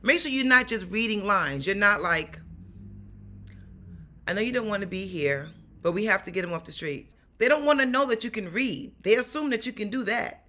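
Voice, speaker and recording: Woman, 40s, New York accent, raspy and bright-toned; animated acting coach speaking straight to camera. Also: medium pitch, normal speed. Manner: animated, emphatic, repeats key points. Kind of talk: direct-to-camera acting advice. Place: small room at home.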